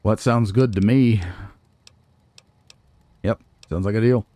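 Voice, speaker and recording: A man's voice: fairly low pitch, a little slow, mellow, no room sound. A man giving casual let's play commentary on a video game, musing to himself and poking fun at himself. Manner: musing to himself, self-deprecating. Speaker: gaming video host, let's player.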